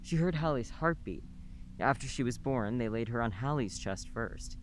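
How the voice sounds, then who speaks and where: An actor playing a kind neighbor who reassures and gently persuades. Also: male voice, medium pitch, lively intonation, moderate pace, slight background noise, close to an everyday voice. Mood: reassuring. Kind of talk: radio play acting.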